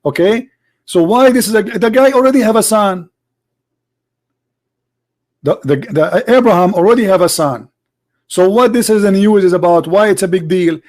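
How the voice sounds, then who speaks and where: A man debating religion, arguing forcefully and repeating himself as he presses his point. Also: high-pitched, moderate pace, long pauses. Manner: forceful, argumentative, repeats words. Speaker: debate host. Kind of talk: heated debate.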